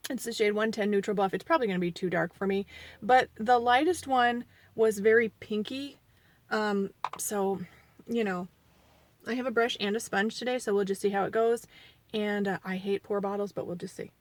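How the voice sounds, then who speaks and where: A woman's voice: mid-range voice, moderate pace. A woman in her forties reviewing a foundation in a chatty, rambling way, a bit sniffly from allergies. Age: 40s. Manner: chatty, rambling, self-deprecating; quieter and mumbling while applying makeup. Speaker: beauty vlogger. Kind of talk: casual makeup review.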